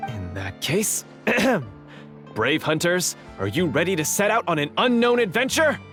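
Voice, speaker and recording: Courteous, animated storytelling host. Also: male voice, high voice, measured pace, exaggerated voice. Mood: grandly theatrical. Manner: polite, theatrical, articulate. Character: courteous male script manager with theatrical storyteller flair. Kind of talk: video game voice acting. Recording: music behind the voice.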